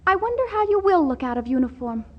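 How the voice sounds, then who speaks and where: Very high-pitched petulant girl. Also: very animated, fast talker, muffled recording. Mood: curious, needling. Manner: petulant, melodramatic. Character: willful, lovesick, tantrum-prone young daughter of a wealthy household. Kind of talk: 1940s radio comedy acting.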